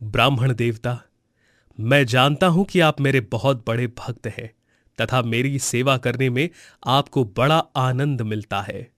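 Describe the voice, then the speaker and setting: Benevolent cartoon god, acted by a man, measured formal delivery, serene and kindly, recorded dry. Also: medium pitch, fairly bright tone, no echo, natural voice. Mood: gently commanding.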